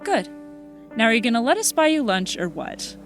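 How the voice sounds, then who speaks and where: A woman playing a protective, frank teenage high-school girl in a comic fan dub. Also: very animated, normal speed, over music.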